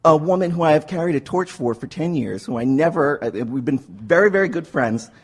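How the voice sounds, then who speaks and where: Husky, higher-voiced man telling his story. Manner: animated, halting, repeats words, acts out quotes. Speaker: workshop participant sharing his story. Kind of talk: informal personal storytelling before an audience.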